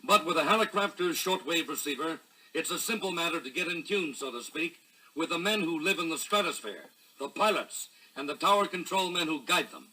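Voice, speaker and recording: A man's voice: fairly high pitch, expressive, fairly quick, bright, forceful tone, poor audio. An American man narrating a 1950s promotional record as host, with a dramatic announcer's delivery. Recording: raspy-edged 1950s vinyl record.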